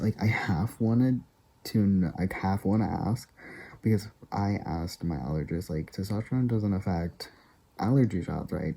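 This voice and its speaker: A man vlogging in a casual, rambling way, full of fillers and frequent soft laughs. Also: low-pitched, breathy edge, poor audio.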